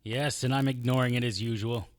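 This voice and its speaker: An actor playing a wry, unflappable private investigator in an audio drama, narrating and talking in a deadpan, sardonic way. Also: male voice, medium pitch, fairly quick, no room sound, studio quality, close to an everyday voice. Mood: wry, unruffled. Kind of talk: hard-boiled detective audio drama acting with first-person narration.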